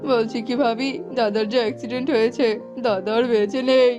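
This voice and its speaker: A woman's voice: on the high side, steady tone, a little fast, close to an everyday voice. A woman voicing a callous, grudging younger jackal uncle in a dramatic, exclamatory cartoon style. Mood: distressed. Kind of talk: cartoon voice acting. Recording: muffled, music underneath.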